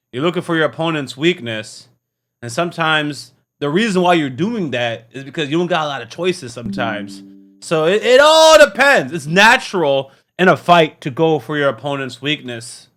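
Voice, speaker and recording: A man's voice: a little high, very animated, moderate pace, pushed and bright. A man hosting a reaction video, commenting casually in a slangy, musing way. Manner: slangy, musing, repeats lines he likes.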